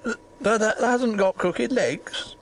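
A woman voicing a blustering, impudent old Yorkshire gardener man in broad dialect, with a hoarse, shaky voice, in a storybook reading. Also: on the high side, slow. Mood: stunned.

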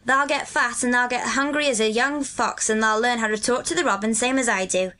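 A storybook country lad of twelve played by a woman, cheerful and earthy, with a broad Yorkshire accent. The voice sits fairly high and talks in hearty, good-natured rustic dialect. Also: fairly quick, pushed and bright. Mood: eager, happy.

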